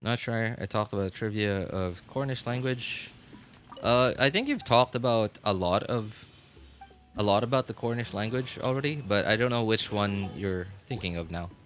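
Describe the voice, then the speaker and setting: Bright-voiced man chatting on a stream. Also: lowish voice. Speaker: game livestreamer. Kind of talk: casual rambling stream chat.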